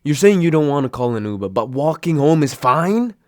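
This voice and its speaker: A man's voice: on the high side, very animated, fairly quick, no room sound, clean studio sound. A young man acting a grumpy, sarcastic but secretly caring roommate woken from sleep, grumbling and sighing in an intimate roleplay audio drama. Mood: alarmed, exasperated. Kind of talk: intimate roleplay audio drama, one side of a phone call.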